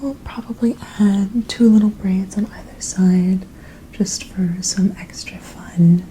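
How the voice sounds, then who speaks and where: A woman performing an ASMR personal-attention role-play, speaking in a gentle, soothing, attentive manner. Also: mid-range voice, fairly even intonation, slow, a little hiss or hum, low-quality recording.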